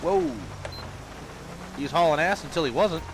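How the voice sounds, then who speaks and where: A man's voice: fairly high pitch, unhurried. Man commentating a police game, noisy. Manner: barks loud commands at suspects. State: sick with a cold. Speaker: gameplay video host. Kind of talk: casual commentary while playing a police game.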